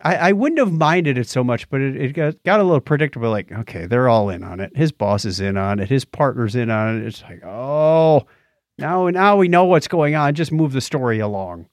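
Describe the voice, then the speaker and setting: A man co-hosts a podcast, talking through a review off the cuff. His voice sits at a middling pitch and he often restarts his phrases as he goes. The sound is dry, with little room around it. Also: lively intonation, normal speed, no echo. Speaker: podcast co-host reviewing films. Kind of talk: casual unscripted review chat.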